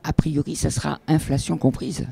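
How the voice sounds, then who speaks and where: A man interviewing someone, asking questions in a halting, hesitant way with repeated words. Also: on the high side, flat delivery, vocal fry, warm tone, breathy edge.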